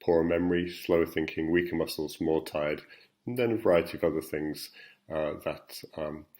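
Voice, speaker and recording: Middle-aged guy with a really low voice walking patients thru slides, lots of ums and uhs. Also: fairly even intonation, normal speed, a little mellow. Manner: frequent ums and uhs, plain explanatory pace. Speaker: endocrinology professor. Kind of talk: recorded slide talk for patients.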